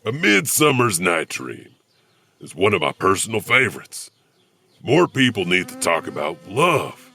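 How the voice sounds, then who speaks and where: A deep, gravelly, theatrical janitor storyteller played by a man in a horror audio drama. He is lonely and halting, with big swings in pitch and a slow pace. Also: big pitch swings, sharp tone, no echo, full character voice. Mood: wistful. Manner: theatrical, halting, chuckling between thoughts.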